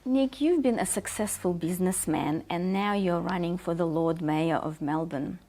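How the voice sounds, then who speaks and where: A woman's voice: fairly low pitch, moderate pace, dark tone, soft background music. A woman hosting an interview in a bar, asking polished, upbeat questions. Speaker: host. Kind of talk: interviewing a guest.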